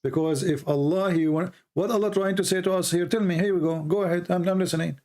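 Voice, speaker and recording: An insistent man with an Arabic accent pushes his point hard and repeats words for emphasis. His voice sits a little high for a man. He is hosting a heated debate. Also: fairly even intonation, quick pace, a little mellow. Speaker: debate host.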